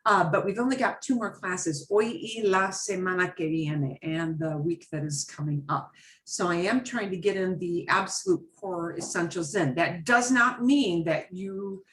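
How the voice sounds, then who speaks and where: A woman's voice: fairly low pitch, moderate pace, a bit bright, a little hiss or hum. A woman teaching a beginner Spanish class over a video call, chatty and patient, mixing Spanish phrases into her English explanations.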